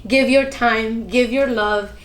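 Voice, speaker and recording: A woman's voice: a little high, fairly even intonation, slow pace. A woman from Colombia, a guest in an interview, speaking in an earnest, motivational way. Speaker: guest, founder of a nonprofit. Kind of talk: interview answers. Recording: echoey room.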